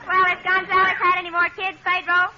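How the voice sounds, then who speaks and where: A woman's voice: extremely high pitch, monotone, a little fast, crowd noise, background noise. An actress playing a nervous, exasperated woman feeding straight lines in 1940s radio comedy. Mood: puzzled. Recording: dull, band-limited 1940s radio recording.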